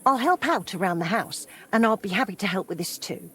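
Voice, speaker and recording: A middle-aged woman playing a warm, helpful widowed townswoman in a medieval video game, with a husky voice. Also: medium pitch, lively intonation, a little fast. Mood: friendly, obliging. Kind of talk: video game character dialogue.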